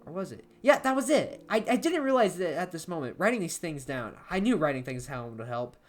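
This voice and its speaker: A woman reading a first-person horror story as an amateur narrator, expressive and dramatic, letting phrase endings drop to a hush. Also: fairly low pitch, fairly quick. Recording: soft guitar music behind the voice. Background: plucked guitar music.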